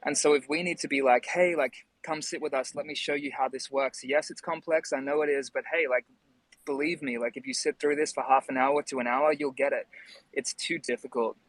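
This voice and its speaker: A man's voice: mid-range voice, fairly even intonation, fairly quick, dry recording, poor audio. A man in a casual discussion, explaining his thoughts in a reflective way. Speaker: panelist in an online music discussion. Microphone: phone.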